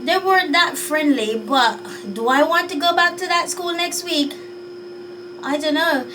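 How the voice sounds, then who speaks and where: A woman's voice: sits high, a little slow, recorded from a distance, background noise. A Jamaican woman vlogging in a chatty, unsure way, sighing as she thinks things over. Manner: chatty, musing and unsure, with sighs. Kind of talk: casual talk to camera.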